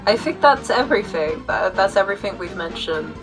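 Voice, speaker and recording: A woman's voice: mid-range voice, lively intonation, brisk, over music, poor audio. A woman chatting casually and unscripted as a channel host, rambling, hesitant and self-deprecating. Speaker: YouTube channel host giving updates.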